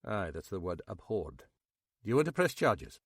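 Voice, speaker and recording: Man doing a gruff Scottish inspector. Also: fairly low pitch, big pitch swings, normal speed, dry recording, clean studio sound. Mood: firm. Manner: firm, blunt, dryly indignant.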